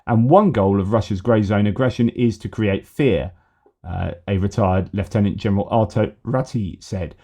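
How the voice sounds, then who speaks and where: A man's voice: on the low side, moderate pace, mellow, slightly rough. A man hosting a news commentary show, reading articles aloud in a conversational way, breaking off for asides and correcting himself mid-sentence. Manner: conversational, reads quotes with asides and self-corrections.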